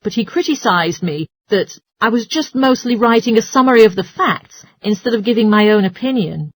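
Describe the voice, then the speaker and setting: A woman reading scripted listening test dialogue and instructions in an articulate, orderly way, with a slightly husky voice. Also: a little high, lively intonation, moderate pace, dry recording, poor audio. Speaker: listening test voice actor and announcer.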